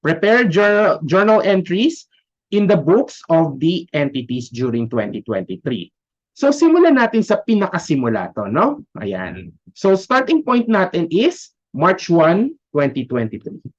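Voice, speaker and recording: A man's voice: high voice, huge pitch swings, unhurried. A man with a Filipino accent giving a conversational lecture, often checking in with short tag questions. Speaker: accounting lecturer. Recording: dull, lo-fi voice recording.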